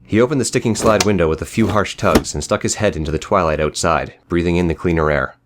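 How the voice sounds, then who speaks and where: A man's voice: low voice, fast talker, noisy background. A man reading scripted fiction as an audiobook narrator.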